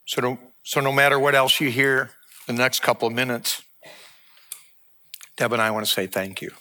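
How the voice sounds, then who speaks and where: A man in his sixties, a lead pastor, giving a sermon and announcement in an earnest, measured, heartfelt way. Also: medium pitch, sharp tone. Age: 60s.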